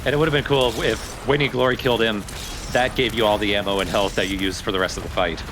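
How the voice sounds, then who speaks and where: A man's voice: on the low side, steady tone, quick pace, noisy background. A man chatting casually as a let's play commentator.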